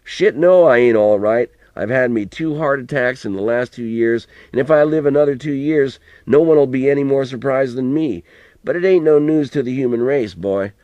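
A man's voice: mid-range voice, moderate pace, a little mellow, no room sound, lo-fi sound, pitched above the performer's own voice, close to an everyday voice. A man doing a cussing old country grandpa. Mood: rambling. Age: older. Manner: folksy rural drawl, cussing. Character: old country grandfather, coarse, wheezing, wry.

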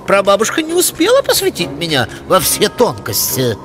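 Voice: mid-range voice, wildly swooping pitch, moderate pace. A performer voicing a plucky, impatient young owl guardian in a cartoon. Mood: unsure. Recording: loud music under the voice.

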